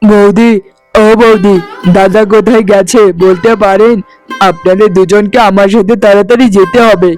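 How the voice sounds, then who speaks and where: Guy doing a devoted young village husband in a cartoon, pretty emotional and earnest, very high voice for a man, faint music. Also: steady tone, normal speed, darkish tone, lightly acted. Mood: worried. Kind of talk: cartoon voice acting in Bengali fairy tale.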